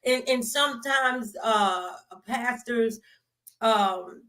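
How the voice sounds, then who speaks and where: High-voiced woman hosting a talk show. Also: very slow, fairly bright tone. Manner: effusive, encouraging, colloquial. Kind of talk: upbeat talk show interview.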